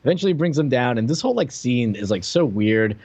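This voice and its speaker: Male American podcast co-host, informal conversational review style, medium pitch, slightly dull and very dry sound. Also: lively intonation, brisk, darkish tone, breathy edge, no room sound. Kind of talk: casual chat reviewing a TV show.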